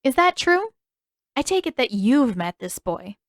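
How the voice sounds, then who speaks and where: Woman voicing a cheeky, high-pitched nosy young girl. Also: big pitch swings, unhurried, dry recording, clean studio sound, heavily acted. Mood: nosy, challenging. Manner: needling, sing-song.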